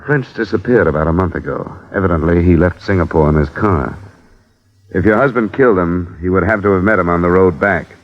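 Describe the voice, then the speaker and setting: Actor as deep-voiced blunt radio investigator. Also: male voice, fairly quick, natural voice. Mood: careful, probing. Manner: dry, direct, matter-of-fact. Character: blunt, probing male investigator narrating his case. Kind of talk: 1950s radio detective drama acting and narration. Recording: dull lo-fi old radio broadcast.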